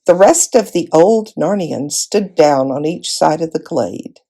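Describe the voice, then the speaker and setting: A woman reads a fantasy storybook aloud. Her voice sits low and relaxed, with a noticeable creaky edge. She delivers the narration expressively, as a volunteer reader would. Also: measured pace.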